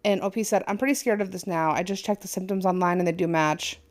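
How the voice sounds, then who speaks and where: A woman's voice: fairly low pitch, steady tone, fast. A woman co-hosting a casual podcast, reading an online post aloud with little asides and stumbles. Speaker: podcast co-host.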